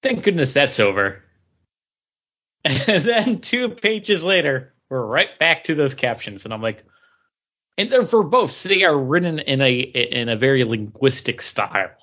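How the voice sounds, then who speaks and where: A man co-hosting a podcast, casually giving a review as animated anecdote. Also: medium pitch, a little slow, lo-fi sound. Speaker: podcast co-host reviewing comics. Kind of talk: casual talk, book review.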